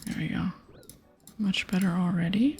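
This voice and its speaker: Breathy, creaky woman murmuring game commentary. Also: fairly low pitch, expressive, normal speed. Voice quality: soft, breathy, often whispered. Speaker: video game streamer. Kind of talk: ASMR game commentary, reading dialogue aloud. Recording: soft music behind. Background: music, keyboard clicks.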